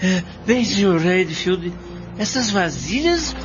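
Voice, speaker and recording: An actor playing an excitable, respectful laborer in a radio drama, speaking in a halting, animated way. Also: male voice, sits high, exaggerated voice. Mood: startled, puzzled. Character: excited, respectful male laborer reporting grisly finds. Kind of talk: amateur radio drama acting. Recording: muffled recording with music behind.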